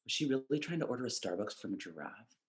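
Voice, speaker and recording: An actor playing a production office employee talking on the phone in a matter-of-fact way. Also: male voice, mid-range voice, expressive, fast talker, natural voice. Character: production company employee named Guy answering phone.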